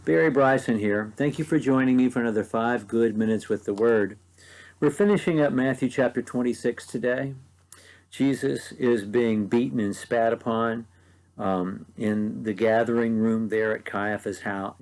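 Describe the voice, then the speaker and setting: Gravelly preacher with an Ohio Valley accent. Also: male voice, medium pitch, a little mellow. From mid-Ohio Valley, USA. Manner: conversational, earnest, with frequent ums. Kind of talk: short devotional Bible teaching.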